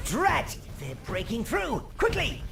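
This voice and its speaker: A man's voice: very high-pitched, wildly swooping pitch, takes it slowly, creaky, heavily acted. An actor playing a fussy, servile old minion advisor in a video game, nagging his master with urgent orders. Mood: alarmed. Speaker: advisor to the player. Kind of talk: video game cutscene voice acting. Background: game music.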